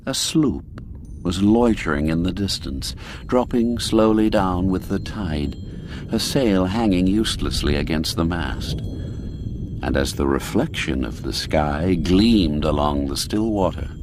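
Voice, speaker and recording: A man reading a classic tale aloud as a scripted narration, slow and storytelling, letting phrase endings fall away. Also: deep voice, lively intonation, noisy background. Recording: faint music behind.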